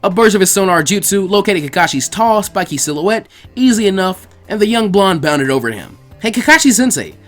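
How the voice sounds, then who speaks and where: A male narrator reads fan fiction with lively swings in pitch. He keeps a brisk pace, and faint music plays behind him. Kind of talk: animated scripted story narration.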